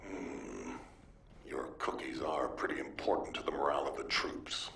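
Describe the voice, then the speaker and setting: A man playing a stern but dryly indulgent military commander in a video game, speaking with measured authority. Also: a bass voice, animated, gravelly, a bit bright, echoey room, in a whisper, distant mic, full character voice. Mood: dryly amused.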